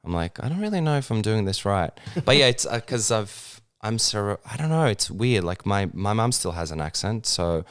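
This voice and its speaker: Actor guest, man, low smooth voice, hesitant with fillers. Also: very animated, normal speed, few pauses, a bit bright. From Serbia. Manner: hesitant, many fillers and restarts. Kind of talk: casual interview conversation.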